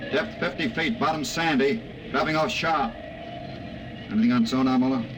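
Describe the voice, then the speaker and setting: A man's voice: medium pitch, normal speed, natural voice. A man playing a blunt army general who barks curt orders, acted in 1950s radio drama style, on a hissy old broadcast recording. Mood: stern.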